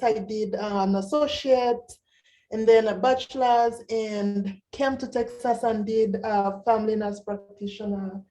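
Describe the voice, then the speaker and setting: A woman, a nurse practitioner giving an informal talk at a meeting, pausing often with fillers. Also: medium pitch, mellow, reverberant, far from the mic, poor audio. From Uganda. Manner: halting, many fillers.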